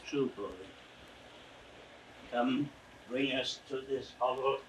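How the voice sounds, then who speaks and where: A man's voice: mid-range voice, flat delivery, slow, a bit bright, rough recording. An actor playing a proud, anguished old king slipping toward madness, grave and declamatory in classical Shakespearean style. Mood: weary. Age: older. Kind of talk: classical Shakespearean audio drama acting. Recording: hissy vintage 1960s drama recording.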